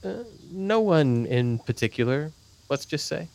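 Earnest, placating church camp counsellor. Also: male voice, fairly high pitch, lively intonation, measured pace, creaky, darkish tone. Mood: awkward, placating. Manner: hesitant, reassuring. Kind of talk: naturalistic audio drama acting.

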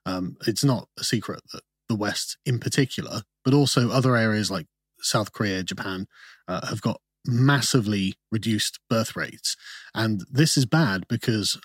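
Male commentator, husky timbre, measured explanatory delivery, close dry studio sound. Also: lowish voice, no room sound. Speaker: guest commentator. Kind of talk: podcast interview.

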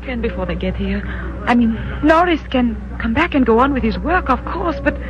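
A woman's voice: on the high side, expressive, moderate pace, background noise, lightly acted. An actress playing an anxious young woman in a 1950s radio drama, breathless and pleading. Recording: muffled old radio broadcast with music.

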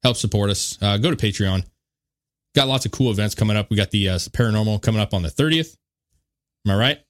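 A man's voice: on the low side, fast talker. A man hosting a podcast in loose, laid-back banter, swearing freely and chuckling between remarks. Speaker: podcast host.

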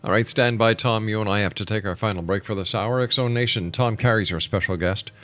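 A man's voice: lowish voice, a little fast, a bit bright. A man hosting a radio show, interviewing and making announcements to listeners.

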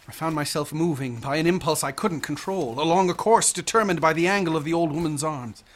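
An actor playing an obsessed young student in a radio drama, speaking intensely and articulately in formal phrases. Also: male voice, on the high side, fairly quick, close to an everyday voice. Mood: haunted awe. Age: young adult. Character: obsessed, haunted young male student recounting eerie visions.

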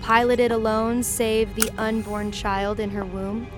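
Woman playing a slow, pompous royal villainess. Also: a little high, little pitch movement, over music, close to an everyday voice. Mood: smug menace. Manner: pompous, deliberate monologuing. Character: haughty, preening royal villainess, zealous and cruel. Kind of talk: scripted sci-fi audio drama acting.

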